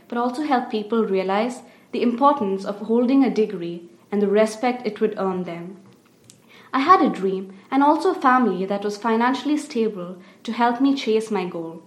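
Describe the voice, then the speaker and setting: Young Indian woman, student essay reader, earnest, echoey room. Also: mid-range voice. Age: young adult. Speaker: undergraduate student. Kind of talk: reading a personal essay aloud. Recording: roomy echo.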